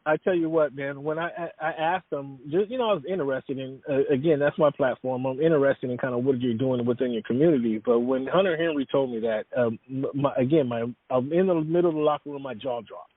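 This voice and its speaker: A man's voice: mid-range voice, brisk, few pauses, no echo. A man chatting as a podcast guest, wandering through his answers with lots of ums and restarts. His voice sits in an ordinary middle range. The sound is dry and tinny, like a phone call. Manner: rambling, many fillers and restarts. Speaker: guest, sports writer. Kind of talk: casual interview talk.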